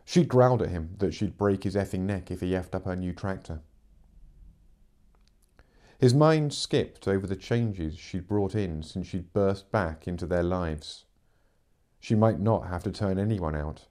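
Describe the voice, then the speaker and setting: A man's voice: low-pitched, measured pace, mellow. A middle-aged man reading fiction aloud as a narrator, in dramatic audiobook style. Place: living room, seated in armchair.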